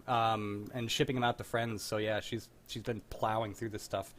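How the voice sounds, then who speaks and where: A man's voice: lowish voice, little pitch movement, moderate pace, fairly bright tone. A man hosting a livestream, chatting in a rambling, candid, self-deprecating way over a video call. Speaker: livestream host. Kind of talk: casual group video chat.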